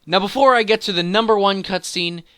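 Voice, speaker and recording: A man's voice: high-pitched, brisk, fairly bright tone, clear, resonant tone, no echo. A man hosting a video game top-ten countdown, speaking with emphatic, enthusiastic commentary and dramatic build-ups. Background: music.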